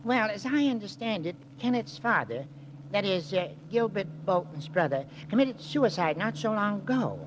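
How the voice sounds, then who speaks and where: Meek fussy detective, high voice, hesitant, old radio show with some noise. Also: male voice, very animated, normal speed. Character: meek, literal-minded male small-time detective. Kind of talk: 1940s radio drama acting. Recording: noisy vintage radio recording.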